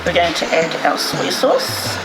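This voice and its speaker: A woman's voice: deep voice, big pitch swings, a little slow. A middle-aged woman from New Zealand giving a cheerful, encouraging cooking demonstration in a kitchen. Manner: upbeat, encouraging, talks while cooking. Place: kitchen indoors. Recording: echoey kitchen with loud music bed.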